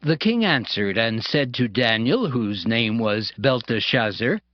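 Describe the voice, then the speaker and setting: A man narrating dramatized scripture in a measured, formal storytelling style. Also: mid-range voice, expressive, no room sound. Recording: dull band-limited audio under prominent music. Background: music.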